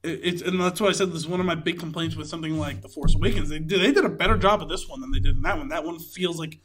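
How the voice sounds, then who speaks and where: A man's voice: on the high side, rapid-fire, crowd noise. A man co-hosting a podcast, giving a casual, conversational and analytical take on a film. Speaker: podcast co-host.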